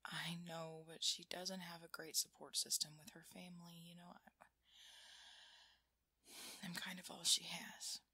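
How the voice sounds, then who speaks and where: A woman playing an affectionate girlfriend shaken by a nightmare in a whispered ASMR roleplay, breathy, halting and intimate. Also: fairly low pitch, little pitch movement, pushed and bright, no room sound, full character voice. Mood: soothed, apologetic. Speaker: audio roleplay performer.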